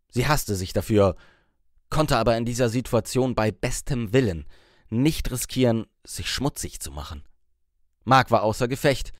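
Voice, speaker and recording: Low-voiced man narrating an audiobook. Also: dry recording, studio quality. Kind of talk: scripted storytelling narration.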